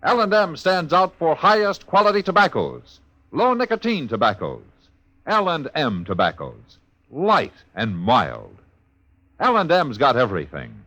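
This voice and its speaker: A man's voice: on the high side, fairly bright tone, dry recording. A man announcing a 1950s radio commercial in a punchy, scripted and emphatic style.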